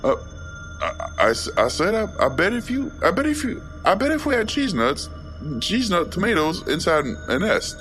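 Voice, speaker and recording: Guy putting on a squeaky timid sidekick for a podcast story, petulant, pitch jumps around, faint music. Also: huge pitch swings, moderate pace, a bit bright, heavily acted. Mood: flustered. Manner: stammering, petulant. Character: whiny, timid, hungry comic sidekick.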